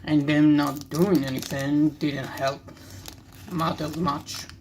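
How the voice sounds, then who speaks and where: Casting: young Israeli man, native Hebrew speaker, gravelly fry, unhurried halting delivery, candid rambling hobby-video host. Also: on the high side, level tone, a little mellow, a little hiss or hum. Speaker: card-opening video host. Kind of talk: casual rambling commentary while opening cards.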